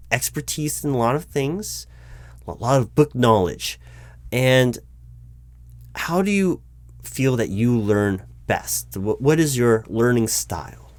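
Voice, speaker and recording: A man playing a patient, probing hiring manager in a one-sided interview roleplay, speaking aloud in a halting, improvised way and repeating words. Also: medium pitch, dry recording. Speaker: job interviewer. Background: computer keyboard typing.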